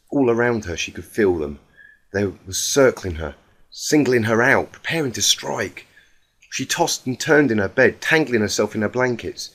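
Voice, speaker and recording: A man's voice: fairly low pitch, fairly bright tone. A man reading a fan fiction story aloud as dramatic narration, with an emotive, emphatic delivery.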